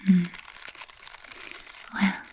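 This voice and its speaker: A woman playing an ancient, refined, playfully macabre vampire in a whispered ASMR roleplay, speaking softly and formally with trailing pauses. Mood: teasing, musing. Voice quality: soft breathy whisper. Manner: slow, deliberate, archly formal with trailing pauses.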